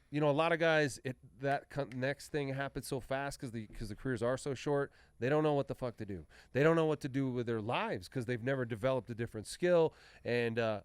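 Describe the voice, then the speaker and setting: Smooth-voiced man hosting a chat. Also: medium pitch, dry recording. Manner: laid-back, leading questions with short agreements. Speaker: podcast host. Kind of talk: casual interview chat.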